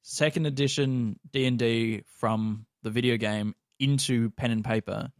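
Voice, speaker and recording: Man, thirties, Australian accent, middling pitch, relaxed-format podcast chat, co-host, no room echo. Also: unhurried, studio quality. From rural Australia.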